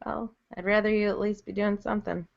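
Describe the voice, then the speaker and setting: A woman's voice: mid-range voice, little pitch movement, a little fast, gravelly, muffled recording. A woman co-hosting a casual podcast chat, laughing a lot and teasing her partner with mock exasperation.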